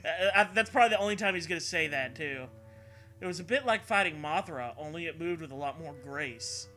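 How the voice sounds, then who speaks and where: A man's voice: fairly high pitch, animated, brisk, sharp tone, faint music behind. A man reading a story aloud as a host, in a comedic dramatic style with casual, irreverent, sweary asides. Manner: irreverent, sweary, often breaks into laughter.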